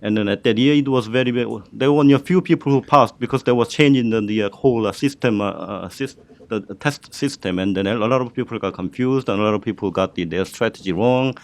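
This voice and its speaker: A man's voice: mid-range voice, fast talker. A middle-aged man with a Korean accent, telling his career story on a student panel, hesitant and full of fillers and restarts. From South Korea.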